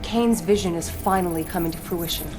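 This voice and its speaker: A woman acting as a devout priestess of a militant cult in a game cutscene. She sounds earnest, formal and deferential, with clear music underneath. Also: on the low side, a little fast, natural voice. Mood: reverent, expectant.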